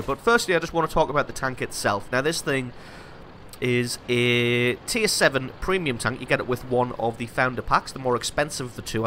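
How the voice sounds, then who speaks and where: Congested man with bright voice. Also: medium pitch, a little hiss or hum. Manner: casual, self-deprecating, explanatory. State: congested from a cold. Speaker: gaming channel host. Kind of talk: gameplay commentary.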